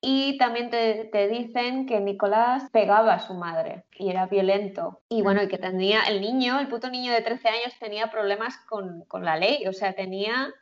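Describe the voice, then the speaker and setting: A woman's voice: medium pitch, normal speed, few pauses, muffled recording. A woman who grew up in the Philippines, co-hosting a casual chat and laughing often, with many fillers. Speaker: podcast co-host.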